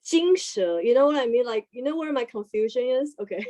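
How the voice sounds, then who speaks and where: A woman's voice: a little high, animated, a little fast, mellow. A woman in a casual group discussion, asking a question with many fillers and restarts. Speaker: discussion participant.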